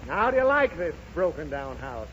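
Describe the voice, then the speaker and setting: A man's voice: a little high, sing-song, brisk, fairly bright tone. An actor playing an irritable American father in a 1930s radio comedy, grumbling and explaining things with huffy sarcasm. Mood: grumpy, impatient. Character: exasperated, grumbling father of a pestering little girl. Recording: dull, noisy 1930s radio recording.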